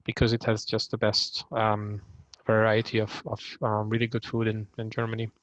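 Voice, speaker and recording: A man from Hungary, likely in his thirties, introducing himself on a video call with many pauses and ums. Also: fairly low pitch, completely flat delivery, fairly bright tone, dry recording, lo-fi sound.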